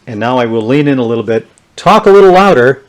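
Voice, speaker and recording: Guy with a mid-range voice doing a casual gadget demo, unscripted, thinking out loud while he tests stuff. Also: medium pitch, expressive, fast, low-quality recording. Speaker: vintage electronics reviewer. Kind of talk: casual hands-on product demonstration.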